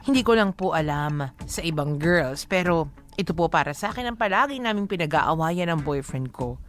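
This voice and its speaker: A woman voices a young Filipino letter writer narrating a radio drama. She confides her troubles in a measured way, with faint music underneath. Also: deep voice, expressive, pitched below the performer's own voice. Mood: confiding.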